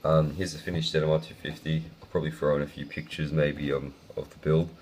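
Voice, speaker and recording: A young man with a croaky, sick voice, talking casually through a hobby build video with lots of ums. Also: extremely low pitch, level tone, fast talker, a little mellow. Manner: casual, rambling with many ums.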